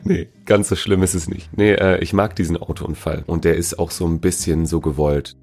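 A man with a very low, warm voice chats casually as a musician being interviewed. He is slangy and loose, teasing as he talks. Faint music plays behind him. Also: level tone, moderate pace.

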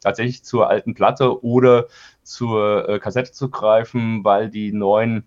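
A man giving halting, opinionated explanations as a guest in a casual interview. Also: fairly low pitch, steady tone, darkish tone. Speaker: audio drama producer being interviewed. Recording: slightly dull, band-limited audio.

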